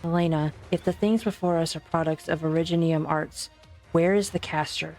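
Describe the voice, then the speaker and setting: A woman acts out an analytical investigator in a game drama. Her voice is on the low side, level-headed and direct, with faint music underneath. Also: steady tone, normal speed, darkish tone. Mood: probing. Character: composed, analytical young female investigator, probing and direct. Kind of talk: amateur fan dub game drama acting.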